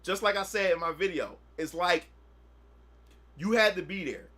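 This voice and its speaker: A man in his thirties talks loosely to his stream audience, circling back over the same points. His voice sits high for a man and has a bright, sharp edge. He keeps the tone casual and conversational throughout. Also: moderate pace. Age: 30s. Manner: rambling, repetitive, often asks "you know what I'm saying". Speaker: gaming streamer. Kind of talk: casual livestream chat.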